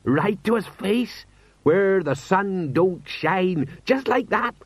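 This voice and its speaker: A man's voice: high-pitched, normal speed, no room sound, voice pushed up, close to an everyday voice. A man voicing a boastful but uneasy police sergeant who blusters and repeats himself. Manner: repetitive boasting, uneasy between pride and dread.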